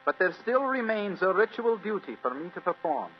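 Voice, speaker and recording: An actor playing an earnest, anxious young heir with a formal, impassioned delivery in a vintage radio drama. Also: male voice, sits high, sing-song, normal speed. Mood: resolute, formal. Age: young adult. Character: earnest, anxious twenty-year-old heir to a castle. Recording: muffled old radio recording with hum.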